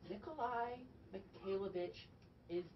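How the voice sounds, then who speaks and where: A woman's voice: medium pitch, unhurried, muffled recording, close to an everyday voice. A woman on stage playing a fussy, pleading elderly servant in a dramatic, exclamatory manner. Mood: exasperated, pleading. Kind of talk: theatrical stage acting. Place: theatre stage, picked up from a distance. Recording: distant stage recording with clear background noise.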